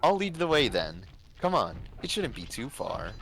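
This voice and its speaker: A gentle young woman played by a man, tender and reassuring in a storybook romance. The pitch moves in a lively way and sits a bit above the reader's deep voice, with clear noise behind it. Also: moderate pace. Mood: inviting. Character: Alice, gentle, earnest young woman in love.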